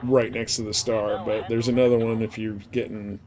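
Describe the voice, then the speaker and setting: A man's voice: on the low side, little pitch movement, normal speed, crowd noise. A man chatting casually and informally as he streams a game. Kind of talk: casual chat while playing a space game live stream.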